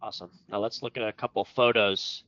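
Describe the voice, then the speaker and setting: A man's voice: lowish voice, steady tone, a little fast, a bit bright. A man hosting a webinar, explaining things in a conversational way. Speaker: webinar host and presenter. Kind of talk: webinar presentation. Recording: dull, lo-fi online audio.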